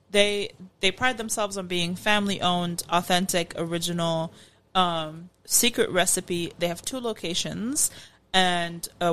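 A woman's voice: fairly low pitch, a bit bright. A woman with an American accent, co-hosting a casual food podcast and explaining things in an informal way. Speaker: podcast co-host.

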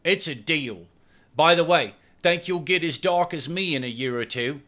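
A cheeky young Cockney woman, acted by a man narrating an audiobook. The voice is bright and lifted above a man's usual talk. She is friendly and chatty, speaking like a character in a novel. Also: a little high, moderate pace, pitched above the performer's own voice. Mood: teasing.

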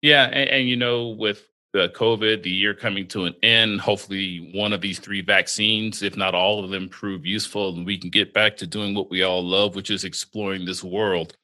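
Male podcast host, lowish voice with a sharp brightness, bantering and stumbling over fillers. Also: steady tone. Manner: joking, halting with many fillers and restarts.

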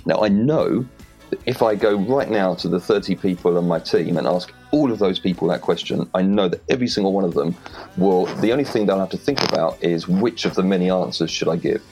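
Low-voiced Welsh man, direct and confident. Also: brisk, a little mellow, faint music behind, rough recording. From Wales. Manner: direct, confident, plain-spoken. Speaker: guest. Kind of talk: interview advice. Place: home in rural Wales.

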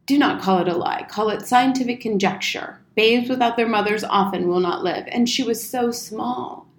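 A woman's voice: fairly high pitch, moderate pace, close to an everyday voice. A woman acts out a blustering old soldier from a storybook. He is pompous and wordy, leaning hard on his words, in a room with noticeable echo. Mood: defensive, pleading. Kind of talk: storybook character reading. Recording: roomy, echoing indoor sound.

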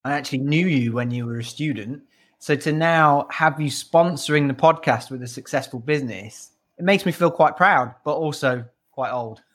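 A man's voice: fairly high pitch, moderate pace, fairly bright tone. A man hosting a travel podcast, interviewing a guest casually and teasing him with easy laughter. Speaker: podcast host.

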